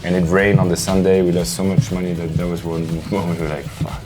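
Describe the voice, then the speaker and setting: A man's voice: sits low, level tone, fast. A young man from the south of France, talking casually as an interviewed musician. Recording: music playing behind the talk.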